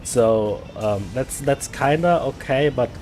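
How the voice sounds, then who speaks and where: A man from Germany with a German accent, chatting casually while playing a game, often repeating words and pausing with fillers. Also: mid-range voice, a little mellow, soft background music. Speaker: gaming streamer. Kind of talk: casual gameplay chat.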